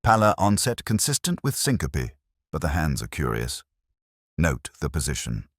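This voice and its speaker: Guy doing a dry clipped detective for an audiobook, super deep, bit husky. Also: very animated, moderate pace, dry recording, heavily acted. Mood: calm, commanding. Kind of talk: audiobook character dialogue.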